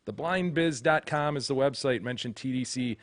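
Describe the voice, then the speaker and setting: A man's voice: medium pitch, normal speed, dry recording. A male podcast co-host who teases and jokes drily, and also reads sponsor copy. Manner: dry joking, teasing. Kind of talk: casual chat and host-read ad.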